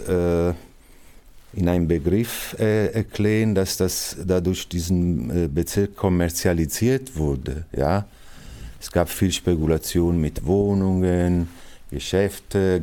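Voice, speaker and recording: A middle-aged man from Naples with an Italian accent, a multimedia artist and artistic director, answering interview questions in non-native German with many pauses and fillers. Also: low voice, dark tone. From Italy, Naples. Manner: hesitant, searching for words, frequent fillers. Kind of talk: interview answer addressed to young listeners.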